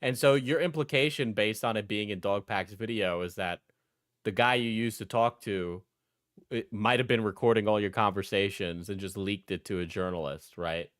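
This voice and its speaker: A man's voice: on the low side, normal speed, fairly bright tone, no echo, clean studio sound. A man giving casual, opinionated commentary in a blunt, mocking way, swearing freely and often checking his points with "right?". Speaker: commentator reacting to an interview.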